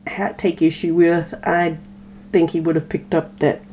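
A woman talks casually as a podcast co-host. Her voice is mellow and fairly low, with a faint hum behind it. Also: little pitch movement, measured pace. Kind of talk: casual chat.

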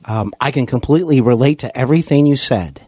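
A man's voice: mid-range voice, quick pace, warm tone, slightly rough, no echo. Hesitant, polite male interviewer, dry sound. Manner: hesitant, wordy, polite phrasing. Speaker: interview show host. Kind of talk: conversational interviewing.

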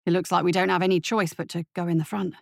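A pragmatic male deputy voiced by a woman reader in a fantasy audiobook. He speaks in a lowered, dry voice, grim and deferential, reporting in a matter-of-fact way. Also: little pitch movement, fast, creaky, no room sound. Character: dutiful, pragmatic male police deputy reporting to his captain.

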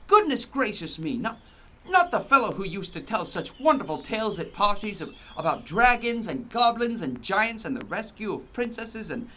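A man acts a flustered, well-mannered hobbit gentleman in an audio drama. His voice is high and bright, swooping up and down as he fusses and exclaims with anxious politeness. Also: big pitch swings, normal speed, heavily acted. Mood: astonished.

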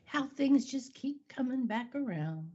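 An older woman co-hosts a casual podcast, chiming in with dry, wry asides. Her voice is slightly husky and she clears her throat often. The recording sounds a little dull. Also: high-pitched, animated, measured pace, mellow. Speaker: podcast co-host. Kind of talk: casual chat.

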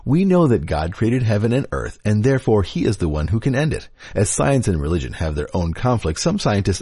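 A man's voice: on the low side, warm tone, slightly rough, no room sound, clean studio sound. A man reading internet forum posts aloud in a declamatory, theatrical way. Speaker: podcast reader.

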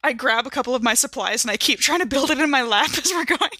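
A woman playing a tabletop game who talks quickly and gets excited. Her voice is high, bright and lively, and the recording is dry and close. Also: breathy edge, dry recording. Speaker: player.

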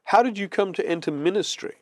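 A man's voice: high-pitched, quick pace, no echo, clean studio sound. A man co-hosting an interview podcast, asking short, inquisitive questions to draw out his guest. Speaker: podcast co-host.

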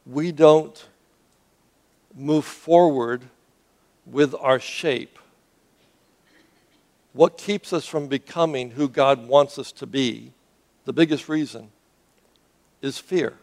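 A man's voice: medium pitch, a little mellow. A man who grew up in Cuba, preaching a sermon to a congregation in an earnest way, often restarting words and asking the audience questions. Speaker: pastor.

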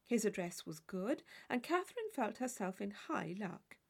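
A woman reading a classic novel as an audiobook, in expressive, measured literary narration. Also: medium pitch, vocal fry, breathy edge. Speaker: audiobook reader.

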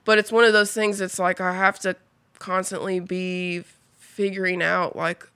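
A woman's voice: on the low side, flat delivery, moderate pace, a bit bright, smooth, dry recording. A woman of twenty-five, a podcast guest, telling her story in a rambling, casual way, full of fillers and the odd swear word.

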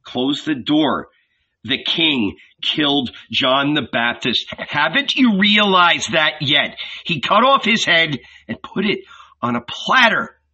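A man playing a frightened fisherman in a storybook reading, urgent and pleading, voice lifted a little and bright, on a dull lo-fi recording. He presses his friend to keep quiet. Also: on the high side, animated, takes it slowly, slightly rough, heavily acted. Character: anxious male fisherman, urgent and fearful.

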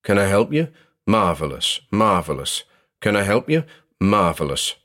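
A man's voice: on the low side, very animated, a little slow, fairly bright tone. Man voicing an eerie, babbling inhuman phone caller. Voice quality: mechanical, gurgling edge. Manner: repetitive, babbling. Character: strange inhuman phone caller, mindlessly mimicking and prattling.

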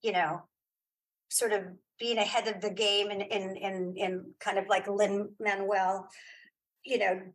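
A middle-aged woman chatting casually as an interview guest, telling anecdotes in an animated, emphatic way and searching aloud for names. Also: medium pitch, a little slow, breathy edge, rough recording. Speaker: interview guest, writer and director.

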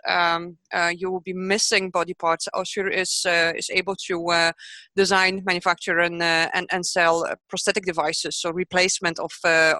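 A woman explaining her work as a podcast guest, with halting phrasing and very frequent hesitations. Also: medium pitch, bright, forceful tone, no echo. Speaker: podcast guest, project manager. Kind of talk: explanatory interview talk.

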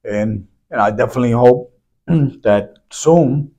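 A hoarse man who is losing his voice hosts a solo podcast, rambling loosely and clearing his throat often. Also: medium pitch, measured pace, warm tone. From New York City. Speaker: podcast host.

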